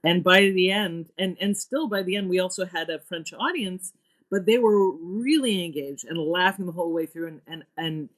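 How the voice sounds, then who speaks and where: A woman's voice: lowish voice, moderate pace. A woman speaking as an interview guest about her theatre work, earnest and emphatic as she thinks aloud. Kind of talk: reflective interview talk.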